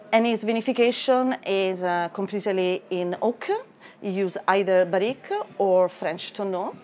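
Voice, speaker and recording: A woman's voice: on the low side, slight background noise. A woman in her thirties with an Italian accent, a wine merchant describing a wine carefully with frequent hesitations in a wine cellar. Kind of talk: tasting discussion.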